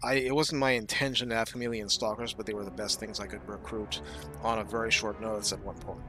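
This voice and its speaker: A man giving casual gameplay commentary, explaining his strategy thoughtfully and often pausing with fillers. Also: on the low side, steady tone, over music.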